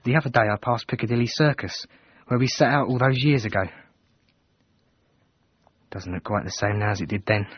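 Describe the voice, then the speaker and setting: An actor playing a wistful, devoted English soldier reciting a letter from the front, husky, reflective and measured, in a radio drama. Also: male voice, mid-range voice, highs cut off, close to an everyday voice.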